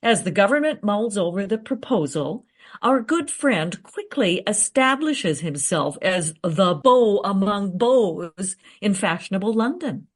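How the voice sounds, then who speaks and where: An actress playing a confident, eager researcher in a radio play, recounting history in a teasing, matter-of-fact way. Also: female voice, mid-range voice, animated, a little slow. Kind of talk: radio play dialogue, storytelling.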